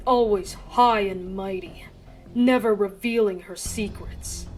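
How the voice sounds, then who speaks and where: A woman acts out a disgraced male research director with a proud, calculating streak. The delivery is slow and measured, bitter yet persuasive. Faint dramatic music plays underneath. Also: medium pitch. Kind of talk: dramatic fan-dub acting. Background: background music.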